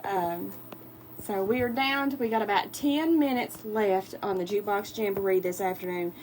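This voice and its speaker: A woman's voice: mid-range voice, normal speed, a little mellow, a little hiss or hum, rough recording. A woman hosting a live radio show, friendly and rambling with many fillers, in a radio studio. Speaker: radio host.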